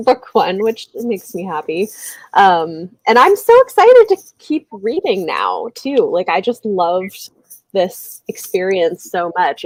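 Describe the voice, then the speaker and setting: A woman's voice: on the low side, dry recording, rough recording. A woman hosting a casual group book chat, talking in an enthusiastic, effusive way. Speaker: host of a book readalong liveshow.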